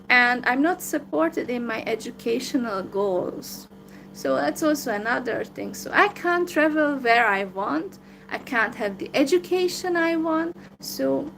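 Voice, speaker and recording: A woman's voice: sits high, vocal fry, a little hiss or hum, poor audio. A woman with a Hungarian accent, talking alone to her viewers in a downcast, hesitant way with many fillers. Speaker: vlogger. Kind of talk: solo livestream monologue.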